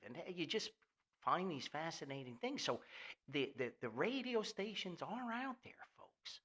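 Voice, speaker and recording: An older American man hosting a podcast in a rambling, nostalgic monologue, folksy, halting and enthusiastic. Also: mid-range voice, a bit bright, breathy edge. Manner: folksy, halting, enthusiastic, refers to himself by name. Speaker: podcast host.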